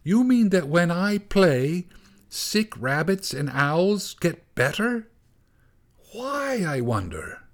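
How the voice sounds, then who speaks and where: A young cellist, blunt and a little petulant, speaking in a plain, puzzled way, as a man reading a storybook gives him voice. The voice stays close to the reader's own, with middling pitch. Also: big pitch swings, unhurried.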